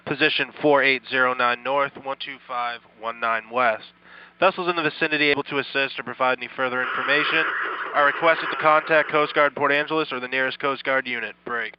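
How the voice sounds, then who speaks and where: A man's voice: mid-range voice, fairly even intonation, brisk. A man working as a coast guard radio operator, speaking in clipped, formal procedure over VHF radio. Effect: VHF marine radio. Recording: muffled VHF radio transmission.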